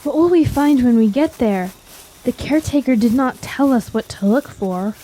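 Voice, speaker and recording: Woman playing a high, soft, naive young traveler. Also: expressive, normal speed, low-quality recording. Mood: weary, questioning. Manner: wide-eyed, wistful, formal. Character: naive, yearning young female traveler, easily enchanted. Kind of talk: fantasy audio drama acting.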